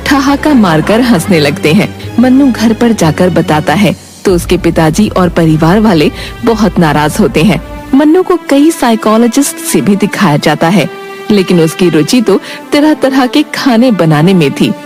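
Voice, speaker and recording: Female storyteller, middle pitch. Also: expressive, unhurried, a little mellow, soft background music. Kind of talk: scripted moral story narration.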